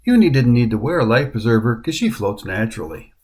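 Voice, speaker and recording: A middle-aged American man narrating his hiking trip in a voice-over, with an even storytelling voice and a dry, wry humor. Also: medium pitch, quick pace. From United States. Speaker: hiking vlogger. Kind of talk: scripted travel narration.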